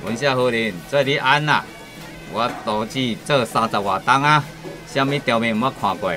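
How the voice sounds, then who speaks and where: An actor with a Taiwanese accent playing a confident, boastful veteran village chef in a radio drama. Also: male voice, medium pitch, moderate pace, bright, forceful tone, soft background music, close to an everyday voice. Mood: confident, reassuring.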